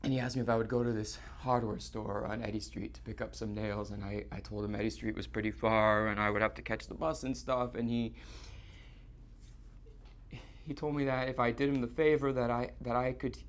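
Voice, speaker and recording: Halting, stammering, guilt-ridden man played by an actor. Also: mid-range voice, steady tone, natural voice. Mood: hesitant, recounting. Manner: halting, stammering, repeating himself. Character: Bobbie, distraught guilt-ridden man confessing to a woman. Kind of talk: live theatre monologue acting. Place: theater stage.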